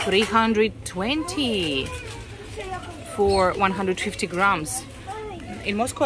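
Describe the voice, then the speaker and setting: A Russian woman with a Russian accent, talking casually as a vlogger while she walks through a grocery store, halting often with "uh" and restarts. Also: mid-range voice. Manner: unhurried, halting, frequent uh and restarts. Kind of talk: casual shopping vlog walk-through. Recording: clear store background noise.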